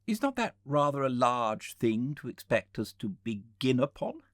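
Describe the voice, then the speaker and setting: A quarrelsome gentleman sceptic , put on by a male narrator, challenges in a pitch lifted well above the reader's own. His querulous audiobook delivery swoops with objection and is recorded dry. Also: very animated, normal speed, dry recording, close to an everyday voice. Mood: objecting, sceptical. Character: argumentative red-haired gentleman sceptic, quick to object. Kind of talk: audiobook character reading.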